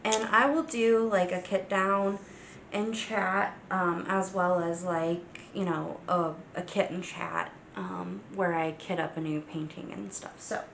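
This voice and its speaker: A woman with a cold chats slowly through her crafting vlog, stuffy and sniffling. Her voice has a gravelly, fried edge. She keeps stopping on ums and starting her sentences again, with a faint room hum underneath. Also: on the low side, steady tone, fairly bright tone, echoey room. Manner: unhurried, many ums and restarts. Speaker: crafting channel host. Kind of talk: casual show-and-tell vlog.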